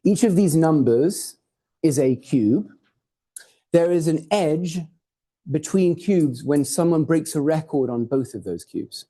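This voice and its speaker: A man's voice: a little high, expressive, takes it slowly, mellow. A man from Hawaii, raised in Portugal and England, giving an informal, digressive academic lecture with jokes and the odd swear word. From born in Hawaii, raised in Portugal and England. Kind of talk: academic talk with slides. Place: lecture hall.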